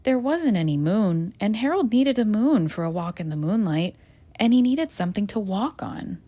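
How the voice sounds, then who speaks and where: A woman reading a children's picture book aloud in a gentle, expressive storytelling manner. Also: medium pitch, normal speed. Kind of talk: children's read-aloud narration.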